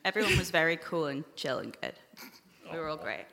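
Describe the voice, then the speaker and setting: Lowish-voiced woman, echoey live panel. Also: lively intonation, fairly quick, vocal fry, bright, forceful tone. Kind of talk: casual chat, live Q&A. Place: live festival hall. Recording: echoey hall, live recording.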